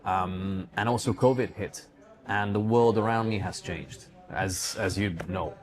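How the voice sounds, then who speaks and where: A man's voice: lowish voice, unhurried, a crowd in the background. A man from Hungary answering questions conversationally as an interview guest, talking about his own life and work. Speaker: guest, digital entrepreneur running a book publishing company.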